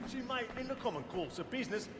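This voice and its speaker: An actor playing a pompous, roguish crime boss in a period stage play, declaiming theatrically and relishing each phrase. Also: male voice, very high voice, animated, fast talker, heavy background noise. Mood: smug, pontificating. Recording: echoey theater hall under loud music.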